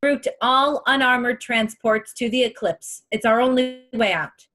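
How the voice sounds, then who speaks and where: A woman's voice: on the high side, a little slow, a bit bright. Weary, wise older general, read by a woman. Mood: urgent command. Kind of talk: table read of a film script. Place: home, video call.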